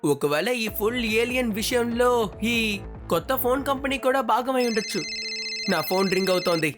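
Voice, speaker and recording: A woman playing a young cartoon boy hero, confident and decisive, giving instructions. Loud music plays behind the voice. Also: fairly high pitch, expressive, a little fast, close to an everyday voice. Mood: thoughtful, determined. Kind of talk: cartoon dubbing.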